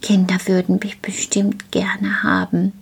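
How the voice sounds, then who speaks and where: A woman voicing a small, excitable male gnome who is eager, childlike and likes to repeat words in a storybook tale. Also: medium pitch, measured pace, vocal fry, breathy edge, full character voice. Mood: musing.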